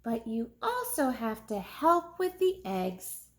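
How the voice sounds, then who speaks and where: A woman's voice: a little high, big pitch swings, slow, a bit bright, full character voice. A woman voicing a determined, caring young girl rabbit in a children's storybook, speaking earnestly. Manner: earnest, urgent, firm.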